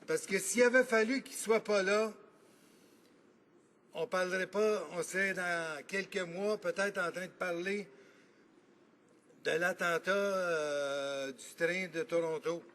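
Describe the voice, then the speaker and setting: A man with a Quebec French accent, a member of parliament giving an earnest, argumentative debate speech in a legislative chamber and pausing often to think. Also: sits high, fairly even intonation, fairly bright tone. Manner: earnest, argumentative, thinking aloud with frequent pauses.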